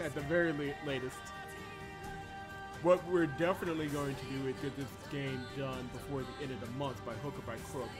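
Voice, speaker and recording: An easygoing man chats to his viewers through a desk mic while he plays. His pitch sits in the middle for a man, and he breaks into laughs often. A soft game soundtrack runs quietly underneath. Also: fairly quick. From Georgia, US. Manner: chatty and animated, laughs often, reads game text aloud. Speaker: streamer. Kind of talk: casual game stream chat. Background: game soundtrack music.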